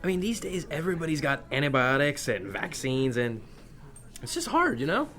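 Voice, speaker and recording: A man's voice: on the high side, very animated, moderate pace, fairly bright tone. A man playing Pestilence as a glum, hesitant suburban brother, grumbling reluctantly in a mockumentary audio comedy. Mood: glum, unsure. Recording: clear background ambient noise.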